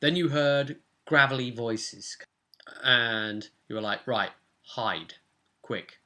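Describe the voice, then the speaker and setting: Bright-voiced young man recapping a game. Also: mid-range voice, takes it slowly. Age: young adult. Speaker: host, game master. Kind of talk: casual recap of a tabletop role-playing session. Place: small furnished room at home.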